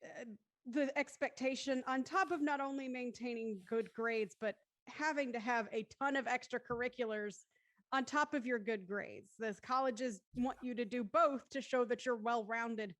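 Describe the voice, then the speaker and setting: A woman hosting a casual discussion, halting and repeating phrases for emphasis. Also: a little high, fairly bright tone, no echo. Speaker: discussion host. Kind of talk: casual chat.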